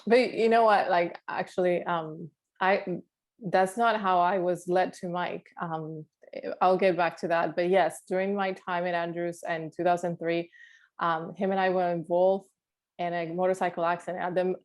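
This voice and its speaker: A woman's voice: fairly low pitch, level tone, moderate pace. Chatty woman from Puerto Rico. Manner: warm, laughing often, many fillers. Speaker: guest alumna, architect. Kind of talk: video call interview.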